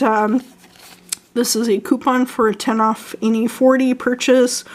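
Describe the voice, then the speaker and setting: A young woman from Canada chatting casually about her fragrance purchases, hoarse and losing her voice, hesitant with many ums and frequent throat clearing. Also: a little high. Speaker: vlogger showing fragrance purchases. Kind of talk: casual haul chat.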